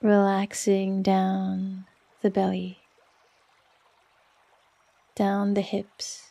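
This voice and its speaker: A woman guides a meditation in a soft, soothing voice with a dark, mellow tone. Her delivery is very even and barely varies in pitch. She takes her time, speaking slowly and leaving long pauses between phrases. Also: gravelly. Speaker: yoga nidra meditation guide.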